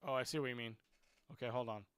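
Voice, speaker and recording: Excitable football streamer with a dry close sound. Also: male voice, medium pitch, fast talker, smooth, no echo. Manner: frustrated, excitable rants about players; muttering while fixing stream clock. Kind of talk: live stream match commentary.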